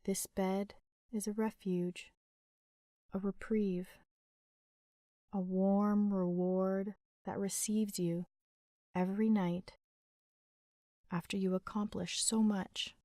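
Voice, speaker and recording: A woman leading a guided sleep meditation in a gentle, soothing, unhurried manner with long pauses. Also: medium pitch, level tone, no room sound, studio quality.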